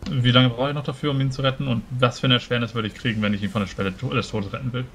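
A man's voice: mid-range voice, fairly even intonation, racing, a bit bright, a little hiss or hum. A man talking casually as a player in a tabletop role-playing game.

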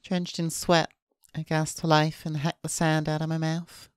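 Female streamer narrating a visual novel: deep for a woman, flat and subdued, dry close-mic sound. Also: normal speed, clean tone, dry recording. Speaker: VTuber streamer. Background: faint game music.

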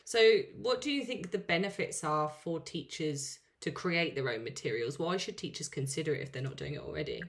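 A female podcast host with a fairly low voice leads the conversation. She asks her questions in a friendly, encouraging tone. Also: animated, a little fast, hardly any pauses, fairly bright tone. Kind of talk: podcast interview.

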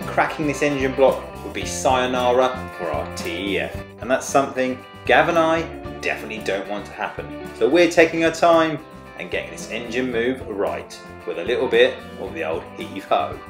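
A man's voice: medium pitch, lively intonation, moderate pace. A middle-aged man co-hosting a workshop show, narrating an engine rebuild in a cheerful, jokey way with playful slang. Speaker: co-host restoring a tractor engine. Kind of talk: upbeat workshop narration and banter. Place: garage workshop, voice-over in part. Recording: roomy sound with music underneath.

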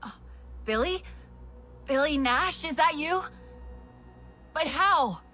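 A woman's voice: high voice, expressive, slow, sharp tone, a little hiss or hum, full character voice. A woman acting a wary young captive fighter in a video game cutscene, questioning and guarded. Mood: confused, surprised.

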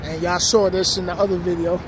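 Middle-aged New York man, high voice, easygoing reflective street talk, phone vlog on a night street with loud traffic noise. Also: little pitch movement, fairly quick. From New York City. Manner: laid-back, reflective, slangy street talk. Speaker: vlogger. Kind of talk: casual walking vlog. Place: outdoors at night on city streets and housing project grounds. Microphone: phone held at arm's length.

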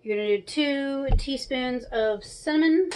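A woman's voice: high voice, a little slow. A woman in her thirties giving a casual, chatty cooking tutorial at home, talking through each step. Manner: chatty, instructional. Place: small home kitchen, indoors. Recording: clear background noise and clicks.

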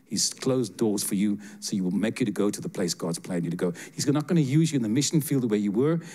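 An older man giving prophetic words to listeners in a church sanctuary, speaking with a handheld mic in a lively, joking, direct manner. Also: lowish voice, quick pace. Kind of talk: prophetic ministry to congregation. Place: church sanctuary with seated congregation.

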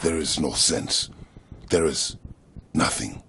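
A man's voice: takes it slowly, vocal fry, heavy background noise, heavily acted. A man voicing a theatrical, wise old bowling master who announces shots with grand, proverb-like lines. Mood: proclaiming. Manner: grand, proverb-like pronouncements with wild pitch leaps.

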